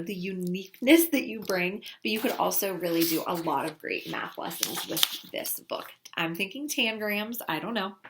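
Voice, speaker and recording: A woman casually presenting book recommendations in an enthusiastic, gushing way. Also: fairly low pitch. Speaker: children's book reviewer. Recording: clearly noisy recording with clicks.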